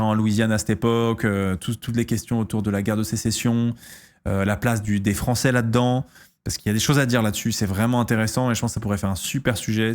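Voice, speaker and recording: A man in his thirties from France talks casually on his stream, answering viewers' questions. His voice sits a little low, and he halts often, restarting sentences and filling gaps with hesitations. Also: a little mellow. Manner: hesitant, lots of fillers and restarts.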